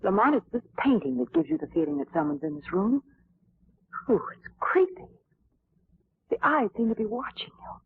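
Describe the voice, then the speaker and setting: An inquisitive, jumpy lady companion in an old radio mystery. Her delivery is dramatic and swooping, with a husky breathiness, on a dull, narrow 1940s recording. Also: mid-range voice, big pitch swings, normal speed, long pauses, no room sound. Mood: uneasy, curious. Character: inquisitive, easily spooked lady companion to a sleuth.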